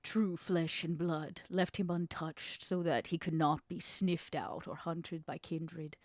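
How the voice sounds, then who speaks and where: A woman playing a scheming, worldly female vampire businesswoman in a tabletop roleplay, speaking in a theatrical, deliberate, insinuating way. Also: sits low, steady tone, dry recording, studio quality. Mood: grave, confiding.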